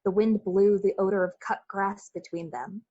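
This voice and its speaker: A woman's voice: mid-range voice, steady tone, moderate pace, mellow, breathy edge, dull audio. A woman giving scripted literary narration as the storyteller of an old-fashioned radio play.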